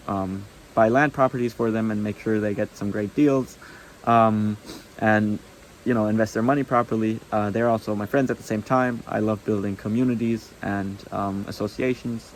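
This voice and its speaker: A man's voice: fairly low pitch, slight background noise, rough recording. A young man with a German accent, a German native speaker, talking casually to camera with many ums and restarts. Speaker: vlogger.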